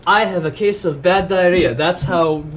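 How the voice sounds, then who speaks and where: A man's voice: high-pitched, moderate pace, slight background noise, rough recording. A man chatting and joking casually in a group. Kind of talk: casual banter.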